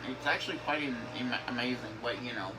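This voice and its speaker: A man's voice: mid-range voice, a little fast, loud music behind the voice, rough recording. A young man from the northern United States talking casually over gameplay, rambling with many fillers and restarts. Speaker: gaming YouTuber. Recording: game engine noise behind.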